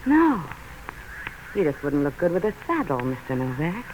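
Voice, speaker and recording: A woman's voice: sits low, very animated, moderate pace, a little mellow. A woman playing a tough, taunting femme fatale with cutting lines in a 1940s radio noir drama. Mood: taunting, menacing. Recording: muffled, noisy vintage radio recording.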